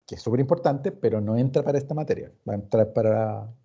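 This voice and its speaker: A man's voice: medium pitch, quick pace, mellow, breathy edge. A man with a Chilean accent lecturing informally as a law professor, didactic and emphatic, often posing questions and repeating words. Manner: didactic, emphatic repetition, acts out questions and answers. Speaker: law professor teaching an online class. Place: recorded online meeting. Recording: dull, muffled online class recording.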